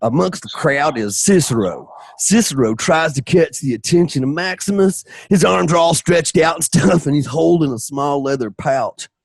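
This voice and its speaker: A man narrating an amateur radio play in a raspy, hammy, playful voice, often shouting and dropping joking asides. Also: fairly high pitch, lively intonation, moderate pace, hardly any pauses, dry recording.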